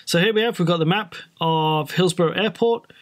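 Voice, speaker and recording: A man's voice: high-pitched, fairly quick. A British man giving an informal, explanatory walkthrough as a flight training presenter in a casual tutorial style. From Britain.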